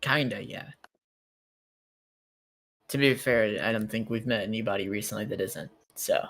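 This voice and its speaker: A woman's voice: rumbling low, moderate pace. A woman playing a tabletop roleplay, chatting casually in character in a blunt, sardonic, sweary way. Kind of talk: casual, improvised in-character chat.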